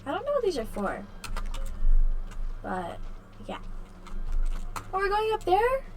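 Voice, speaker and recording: Slow-paced woman streaming a game. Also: very high-pitched, wildly swooping pitch, slight background noise. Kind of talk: casual gameplay commentary.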